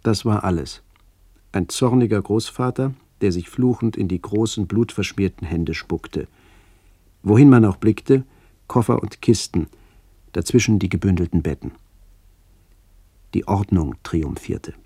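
An older narrator looking back on his village childhood in a radio play. His voice is deep, dark and husky, with a gravelly edge. He speaks in a measured, reflective way, recorded close and dry. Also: lively intonation, no echo, full character voice. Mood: somber, remembering. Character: older man recalling his village childhood during the expulsion. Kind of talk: literary first-person radio play narration.

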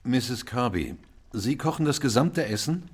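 A man playing a calm, courteous Victorian doctor in a radio crime drama, measured and polite as he questions people. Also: medium pitch, slightly rough. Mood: probing.

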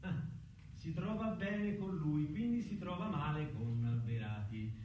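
An actor playing a menacing boss in a stage drama, probing and pointedly repeating answers during a job appraisal. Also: male voice, on the high side, animated, measured pace, mellow. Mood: probing, insinuating. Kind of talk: theatrical stage drama acting. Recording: very echoey, distant, noisy stage recording.

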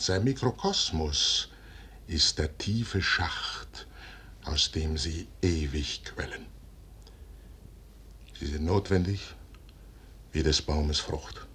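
Proud old-radio general, husky deep voice, slow solemn declaiming, stubborn and visionary. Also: male voice, a bit bright, heavily acted. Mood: grave, certain. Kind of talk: 1950s German radio drama verse acting.

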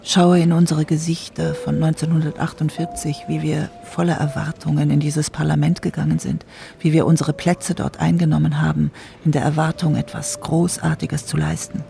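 An actress playing the reflective, disillusioned ghost of a parliamentarian, speaking a measured literary monologue in a radio drama. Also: female voice, deep voice, level tone, creaky, warm tone. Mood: melancholy, pensive. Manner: measured, pensive.

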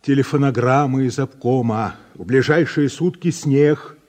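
An actor playing a curt, condescending collective-farm director in a 1980s radio drama. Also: male voice, medium pitch, unhurried, a little mellow, natural voice. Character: bossy, dismissive middle-aged farm director.

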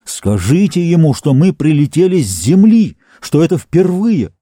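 A man's voice: mid-range voice, sing-song, moderate pace, mellow, no echo, studio quality. A man voicing a plump, self-assured Earth rocket captain who talks eagerly and insistently.

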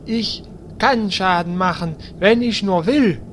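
A man's voice: high voice, takes it slowly, fairly bright tone, slight background noise. A man acting a naive, stubborn, proud paladin in a comedic fantasy audio drama, earnest and pompous. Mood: earnest, offended.

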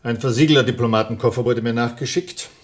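A man's voice: medium pitch, quick pace, lightly acted. Actor as reserved, clipped intelligence man. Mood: businesslike. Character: reserved, businesslike man, army intelligence newcomer. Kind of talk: German radio drama acting.

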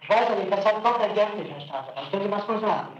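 A man's voice: high voice, animated, very fast, reverberant, highs cut off, background noise. A man being questioned by a prosecutor, agitated and defiant, repeating himself over and over. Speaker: suspect under interrogation. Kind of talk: police interrogation tape.

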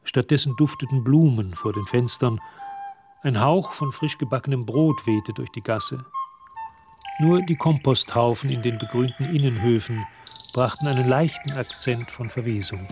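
A man as the narrator of a radio drama, reading scripted literary prose in a measured way. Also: medium pitch, music in the background, close to an everyday voice. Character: measured male literary storyteller.

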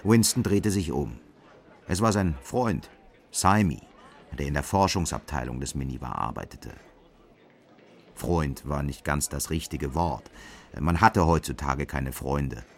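A man's voice: extremely low pitch, lively intonation, measured pace, exaggerated voice. A man narrating a literary radio drama, telling a dystopian story in a sober, scripted manner. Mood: measured, sober.

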